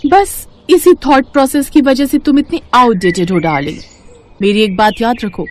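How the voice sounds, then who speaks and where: A woman's voice: on the high side, a little mellow, slight background noise. A woman voicing a spoiled, haughty college-age rich daughter in an audio story, with snooty speech sprinkled with English.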